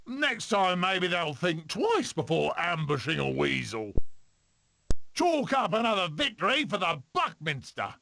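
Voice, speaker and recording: Cocky weasel adventurer for a cartoon game, high bright voice that swoops all over, theatrical and boastful. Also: male voice, gravelly, slight background noise, exaggerated voice. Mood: triumphant, boastful. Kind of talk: animated film video game voice clips.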